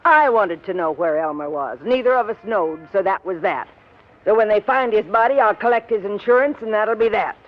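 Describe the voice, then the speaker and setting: An actress playing a bitter, domineering middle-aged working-class wife in 1950s radio drama, brusque and scornful, with ungrammatical speech. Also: female voice, medium pitch, expressive, moderate pace, natural voice. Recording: dull old 1950s radio recording.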